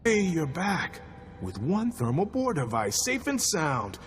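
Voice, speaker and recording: An actor playing a gruff, weary and practical military commander in a video game, brisk and blunt. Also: male voice, on the high side, huge pitch swings, big-room sound, full character voice. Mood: relieved. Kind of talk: video game cutscene acting.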